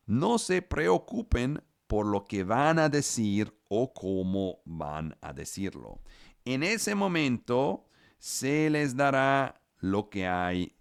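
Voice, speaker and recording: A man preaching in Spanish, which is not his first language, over a video call, reading scripture slowly and often searching for his words. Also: lowish voice, gravelly, no echo, studio quality. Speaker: pastor.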